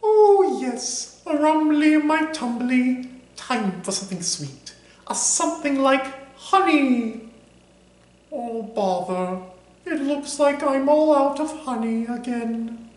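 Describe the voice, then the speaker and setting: A man voicing a gentle, slow-witted bear who loves honey and speaks in a soft, dreamy way. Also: very high-pitched, lively intonation, voice pushed up, exaggerated voice. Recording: roomy echo.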